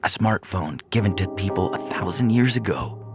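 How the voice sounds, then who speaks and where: A man reads as a determined, curious man in a science fiction audio story. He reasons insistently in a gravelly voice, lifted above the reader's usual pitch, with faint music underneath. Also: animated, normal speed.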